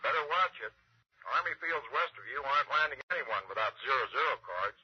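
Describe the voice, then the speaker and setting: An actor playing a brisk, alert airline radio dispatcher with a rough voice in 1960s radio drama. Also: male voice, medium pitch, expressive. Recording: dull crackly old radio broadcast.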